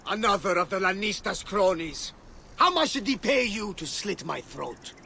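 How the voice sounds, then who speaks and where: Over faint background noise comes a proud runaway gladiator from a video game. His voice is high, creaky and slow, with a blunt, bitter defiance. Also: lively intonation, pushed and bright, heavily acted. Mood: wary, bitter.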